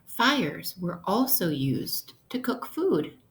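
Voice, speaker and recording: Slow-paced woman teacher reading aloud to children. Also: on the low side, sing-song. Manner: instructional, posing questions to listeners. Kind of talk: interactive read-aloud lesson for young children.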